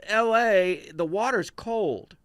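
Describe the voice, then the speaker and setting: A man's voice: high voice, takes it slowly, clear, resonant tone, dry recording, clean studio sound. A man from Texas, a language teacher and musician, chatting as an interview guest and telling stories with jokes. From Texas, USA. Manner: storytelling with jokes and laughter. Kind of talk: casual interview chat.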